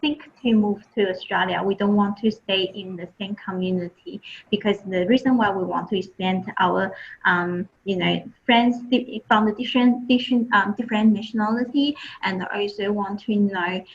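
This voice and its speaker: A woman's voice: medium pitch, fairly even intonation, normal speed, highs cut off. A woman from Taiwan, not a native English speaker, presenting as an admissions and marketing officer, with many fillers and restarts. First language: Chinese. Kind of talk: online presentation.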